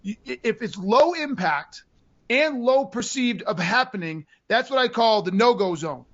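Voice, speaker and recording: Podcast co-host, male, high-pitched, animated explainer, slightly dull recording. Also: a little slow. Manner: animated, acts out buyer voices in quotes. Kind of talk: casual podcast chat.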